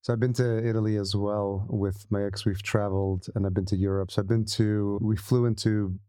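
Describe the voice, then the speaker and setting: Low, mellow male podcast host. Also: no room sound. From El Salvador heritage, lives in the US. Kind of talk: casual interview chat and sponsor read.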